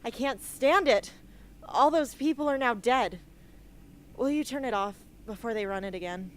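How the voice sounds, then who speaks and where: A woman's voice: a little high, moderate pace, slight background noise, close to an everyday voice. A woman playing a blunt, wounded young female rebel in a radio drama. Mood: distressed. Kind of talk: amateur radio drama acting.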